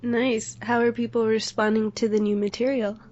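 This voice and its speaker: A woman's voice: on the high side, little pitch movement, normal speed, a little mellow, lo-fi sound. A woman hosting a podcast who puts short, friendly questions to a guest. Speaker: podcast host interviewer. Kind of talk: casual interview.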